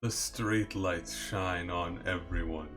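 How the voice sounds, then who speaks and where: A man's voice: low voice, steady tone, slow, fairly bright tone, soft background music. A man reading game lines aloud and making casual asides as a playthrough performer.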